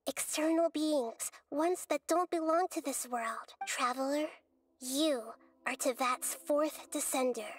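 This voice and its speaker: A woman voicing a gentle, wise, childlike goddess in a video game, measured and thoughtful. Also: sits high, slight background noise. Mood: explanatory, thoughtful.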